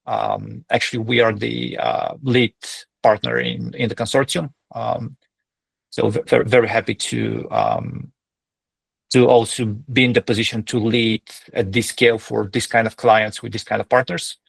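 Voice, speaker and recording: A man speaking as a company executive, giving semi-formal, measured and somewhat hesitant explanations on an earnings call. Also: mid-range voice, vocal fry, husky, dry recording.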